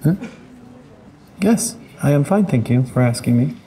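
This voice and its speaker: A man's voice: medium pitch, lively intonation, a little slow, dark tone, slight background noise, close to an everyday voice. An actor playing a nervous young male student programmer who rambles awkwardly and tries too hard. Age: young adult.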